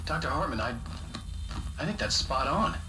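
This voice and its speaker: An actor playing a troubled, defeated and haunted writer in a video game. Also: male voice, mid-range voice, expressive, normal speed, background noise, natural voice. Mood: meek, defeated. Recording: loud music over the voice.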